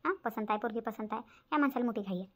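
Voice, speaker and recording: A woman's voice: a little high, racing, natural voice. A woman voicing a fussy, grumbling village mother. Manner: complaining, nagging. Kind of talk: cartoon voice acting. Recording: dull, lo-fi sound.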